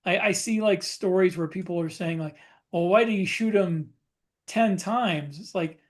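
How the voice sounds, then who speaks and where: A man's voice: sits high, normal speed. A man co-hosting a podcast in casual chat, explaining his opinions as he goes. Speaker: podcast co-host. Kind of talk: casual chat about guns and military life.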